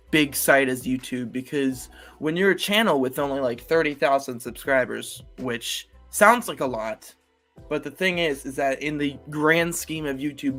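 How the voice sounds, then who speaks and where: A man's voice: mid-range voice, fairly bright tone. An eighteen-year-old man talking casually to his channel's viewers, rambling with many fillers and restarts. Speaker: YouTuber. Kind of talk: casual solo vlog talk.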